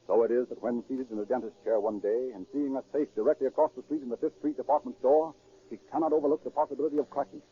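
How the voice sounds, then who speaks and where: A male radio narrator drives a crime story along at a rapid clip. The delivery is scripted 1930s drama, with a slightly rough, unclear voice on a dull vintage recording. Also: medium pitch, fairly even intonation.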